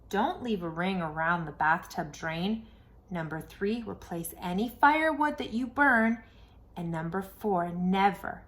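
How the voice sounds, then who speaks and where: Woman reading a picture book expressively. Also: lowish voice. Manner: dramatic emphasis and pauses. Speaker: children's storybook reader.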